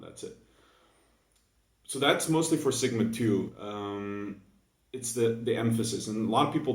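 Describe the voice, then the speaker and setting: A young man with a Dutch accent, just woken up, talking through a casual, hesitant monologue for his gaming video full of fillers and restarts. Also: fairly low pitch. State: just woke up. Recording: echoey room, mic at a distance.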